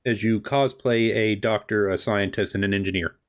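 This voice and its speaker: A man's voice: fairly low pitch, normal speed. Wry, playful man running a tabletop game. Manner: playful, wry, repeats himself. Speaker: game master. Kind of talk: improvised tabletop game narration, casual banter.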